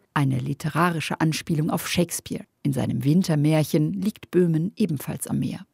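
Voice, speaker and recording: Husky, gravelly woman radio reporter. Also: low-pitched, darkish tone, no room sound, studio quality. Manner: measured, clear newsreading delivery. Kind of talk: scripted radio report narration.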